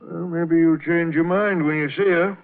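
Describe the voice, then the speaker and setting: An actor playing an older, folksy roadhouse cook with slangy, wry talk in a 1940s radio drama. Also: male voice, on the high side, fairly even intonation, moderate pace, natural voice. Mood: knowing. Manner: slangy, folksy, drawling asides. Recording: tinny, narrow-band old radio recording.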